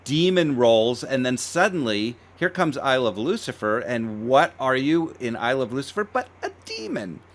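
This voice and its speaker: A man's voice: mid-range voice, big pitch swings, moderate pace, few pauses. A man co-hosting a podcast in casual, effusive, complimentary interview chat. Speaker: podcast co-host.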